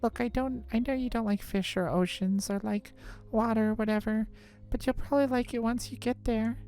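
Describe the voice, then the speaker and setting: A woman's voice: on the high side, steady tone, moderate pace, warm tone, close to an everyday voice. Woman voicing a pleading, persuasive schoolgirl. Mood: reassuring. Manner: pleading, reassuring, conversational. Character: persuasive schoolgirl coaxing a reluctant friend. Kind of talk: acted visual novel dialogue read aloud. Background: music.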